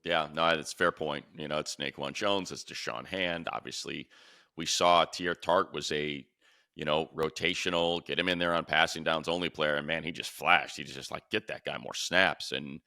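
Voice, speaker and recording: Male sports talk host: extremely low voice with fry, conversational, circling back on his phrasing. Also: steady tone, normal speed, pushed and bright, dry recording, studio quality.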